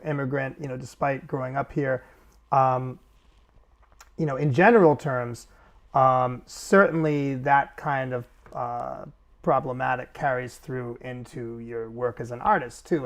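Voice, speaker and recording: A middle-aged man interviewing an artist, asking long, halting, rambling questions. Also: medium pitch, creaky. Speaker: interviewer, editor. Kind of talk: casual interview conversation.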